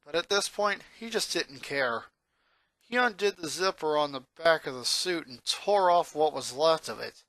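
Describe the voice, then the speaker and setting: Bright, higher-voiced man narrating a story. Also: animated, normal speed. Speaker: narrator, fan fiction reader.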